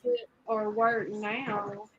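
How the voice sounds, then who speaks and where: A woman chatting casually, sounding quiet and distant among other voices. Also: mid-range voice, little pitch movement, very slow, lo-fi sound, a crowd in the background. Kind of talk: casual chat.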